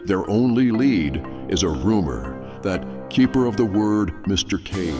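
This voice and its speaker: An actor playing a grave, mysterious storyteller, narrating an audio drama. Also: male voice, fairly low pitch, sing-song, unhurried. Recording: music bed behind the voice.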